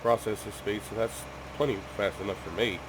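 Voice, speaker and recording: A man's voice: fairly low pitch, fairly even intonation, fairly quick, background noise. A man who is sick with a cough, chatting casually to his viewers at home in a rambling way, full of ums and restarts. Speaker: gaming YouTuber.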